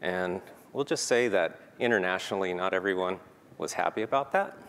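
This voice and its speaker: A man's voice: deep voice, a little fast, fairly bright tone. A man giving a prepared conference talk, earnest and peppered with "um" fillers. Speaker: conference speaker. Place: conference hall. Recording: reverberant hall, faint noise.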